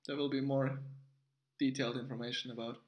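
Roomy, echoing sound surrounds a man with a Swiss accent and a mid-pitched voice. He co-hosts a podcast casually, teasing and joking in a playful, informal way. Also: little pitch movement, a little fast, dull audio. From Switzerland. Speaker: podcast co-host. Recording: roomy, echoey indoor sound.

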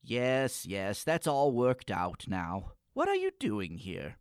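An actor playing a morose, pompous schoolboy with florid, wordy gothic diction in a comic radio play. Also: male voice, medium pitch, huge pitch swings, slow, no room sound, studio quality. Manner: pompous, wordy, hesitant when flustered.

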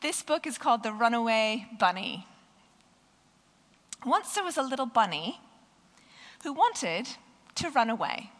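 A woman with a croaky, hoarse voice giving a conversational sermon and reading a children's story aloud. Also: fairly high pitch, big pitch swings, a little slow, long pauses, fairly bright tone, in a large hall. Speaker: preacher.

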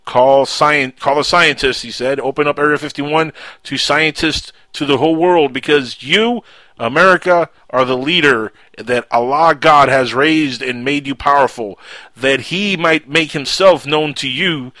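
A man with a Cuban accent hosting a casual radio talk show, animated and quick to raise his voice. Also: on the high side, no echo. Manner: animated, quick to jump to loud outbursts.

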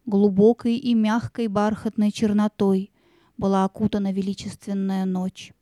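A woman's voice: medium pitch, little pitch movement, normal speed, mellow, no room sound, studio quality. A woman narrating a fairy tale in a scripted storytelling style.

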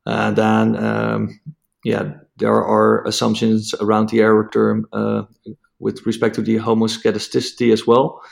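A man with a Dutch accent, a native Dutch speaker, explaining a technical topic as an interview guest, halting with many fillers and restarts. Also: lowish voice, completely flat delivery, creaky. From Netherlands.

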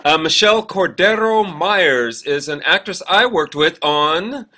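A man's voice: fairly high pitch, expressive, unhurried, sharp tone. A man co-hosting a podcast, chatting casually in a rambling, anecdotal way. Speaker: podcast co-host. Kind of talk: casual chat.

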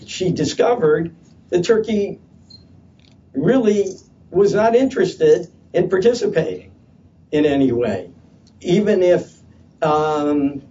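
Hesitant, loud man at a distant mic. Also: on the high side, animated, slow, pauses often. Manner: hesitant, many fillers and restarts. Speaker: panel speaker at a policy conference. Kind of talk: unscripted conference talk. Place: conference session room. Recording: distant, dull lo-fi conference audio.

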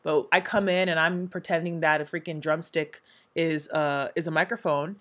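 Young teacher woman, lots of energy, low-ish voice, acts out quotes all the time. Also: fairly even intonation, moderate pace, fairly bright tone. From Nigeria and America. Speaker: English teacher in Korea. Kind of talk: casual chat.

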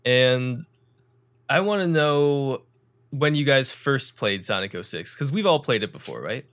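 A young man hosting a casual podcast, talking with energetic emphasis and dry sarcasm. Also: medium pitch, unhurried. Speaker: podcast host.

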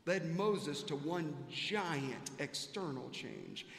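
A man's voice: on the high side, lively intonation, slow pace, vocal fry, a bit bright, a little hiss or hum. A man preaching a sermon as a pastor, building earnest questions through repetition. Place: large reverberant church sanctuary. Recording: echoey hall with long reverb.

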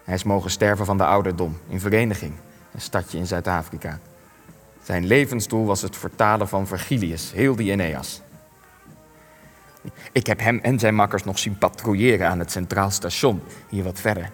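Wry old war veteran, echoing hall. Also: male voice, low voice, normal speed. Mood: bitter, reminiscing. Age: young adult. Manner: formal, dryly ironic, hesitant. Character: old male resistance veteran, wry, evasive, world-weary. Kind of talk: Dutch-language radio play acting. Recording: long echoing hall, music underneath.

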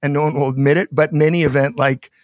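A man's voice: fairly high pitch, steady tone, fast, dry recording. Guy rambling about politics, swears a lot, loses his train of thought, dry close sound. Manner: rambling, profane, trailing off mid-thought. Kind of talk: casual political chat.

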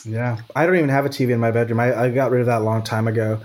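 A man's voice: mid-range voice, steady tone, racing, a little mellow, a little hiss or hum. A man from Texas in casual conversation, talking thoughtfully and checking in with "right?" as he goes. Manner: thoughtful, frequent restarts and "right?".

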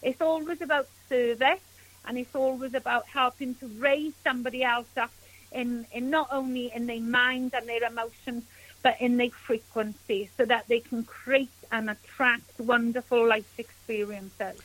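A woman's voice: a little high, fairly even intonation, normal speed, fairly bright tone, low-quality recording. A woman with a Welsh accent, a spiritual healer speaking as a guest on a radio interview, earnest and gushing with thanks.

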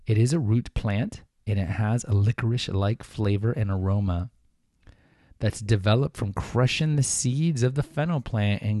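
Man, podcast host, casual chatty delivery, low voice, dry close recording. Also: warm tone, dry recording. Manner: chatty and informal, with fillers and self-corrections. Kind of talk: casual solo podcast talk.

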